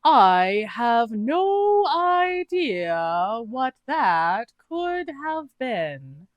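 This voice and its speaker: A woman voicing a dopey, guileless fantasy adventurer with drawn-out, deliberate speech in a read-aloud tabletop story. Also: fairly high pitch, sing-song, dry recording, lo-fi sound, voice pushed up, exaggerated voice. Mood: innocent, evasive.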